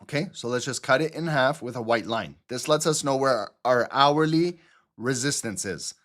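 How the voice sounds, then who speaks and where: A man hosting a live trading stream, walking viewers through chart setups in a teaching manner, checking in with "okay?" and "right?" and often pausing on fillers. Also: medium pitch, fairly bright tone. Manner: explanatory, repeats "okay?" and "right?", frequent fillers. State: throat clearing, choking on water. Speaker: trading channel host. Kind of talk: live market analysis walkthrough.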